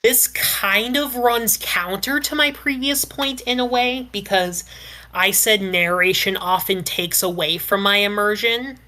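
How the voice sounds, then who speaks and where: A woman speaking as a podcast panelist, giving opinions casually in a measured, qualifying way with frequent "like". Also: medium pitch, bright, forceful tone.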